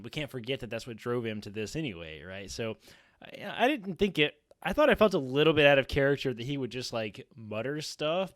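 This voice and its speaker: A man's voice: mid-range voice, no room sound, clean studio sound. A man in his thirties chatting casually and emphatically as a podcast panelist, often checking in with "right?". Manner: animated, emphatic, frequently adds "right?". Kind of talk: casual roundtable chat. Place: small home room on a group video call. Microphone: close podcast mic.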